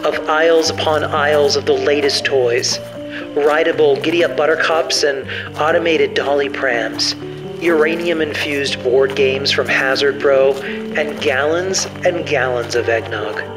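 A man's voice: a little high, measured pace, over music, close to an everyday voice, poor audio. A man playing a put-upon young survivor narrating his journal in a scripted audio drama. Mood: wistful, wry.

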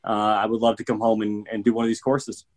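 A man's voice: fairly low pitch, monotone, fast talker. A middle-aged man from Michigan, a bourbon educator, talking casually on a video call from a room at home, rambling through many fillers with an eager, upbeat manner. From Michigan, USA. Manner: rambling, filler-heavy, enthusiastic.

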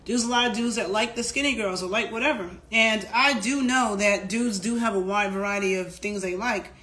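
A woman's voice: medium pitch, moderate pace, sharp tone. A woman, talking candidly to a phone camera at home in a chatty vlog. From New York. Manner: candid, chatty, frequent fillers and asides. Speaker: fitness vlogger. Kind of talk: casual talk to camera. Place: indoor room at home.

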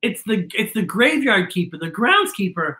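A middle-aged man reading a picture book aloud to children with theatrical, exaggerated, drawn-out delivery. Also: high voice, big pitch swings, pushed and bright, reverberant. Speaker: children's storytime host.

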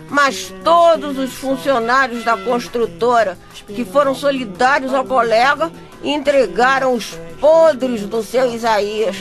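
A high-pitched Brazilian housewife tells her woes straight to the listener in a radio soap. She is gossipy and exasperated, with faint music behind her. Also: fairly bright tone. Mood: indignant, scolding. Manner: chatty, addresses listeners directly. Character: exasperated, gossipy bricklayer's wife narrating her misfortunes. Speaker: narrator and character. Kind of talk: radio soap opera acting, chatty storytelling.

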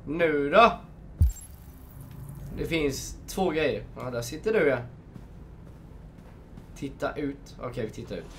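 Chatty young man gaming, slightly high voice. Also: expressive, pauses often, slight background noise. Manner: chatty, reads game menus aloud, gushes. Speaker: gamer, channel host. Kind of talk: let's play commentary. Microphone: desk microphone.

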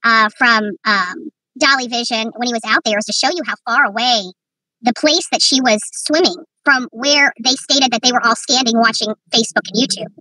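High, bright-voiced woman vlogger. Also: moderate pace, no room sound, distant mic, low-quality recording. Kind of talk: casual rambling commentary to viewers.

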